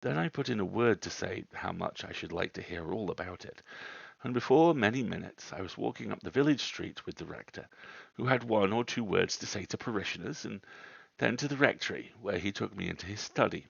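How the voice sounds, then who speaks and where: A man narrates a classic ghost story on a livestream. His voice is fairly low with plenty of fry in it. The sound is a little dull. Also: expressive, brisk, fairly bright tone. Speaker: story reader, livestream host. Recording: roomy, dull livestream audio.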